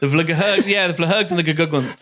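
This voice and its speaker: Middle-aged man in halting, enthusiastic discussion. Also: fairly high pitch, fairly bright tone. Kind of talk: casual discussion of books and films.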